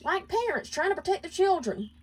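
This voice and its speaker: A woman plays a scolding imp wife in a storybook drama. She is quick-tempered, defensive and dismissive, her voice raised high and snappy. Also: sing-song, low-quality recording. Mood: angry, dismissive. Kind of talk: dramatic storybook character reading.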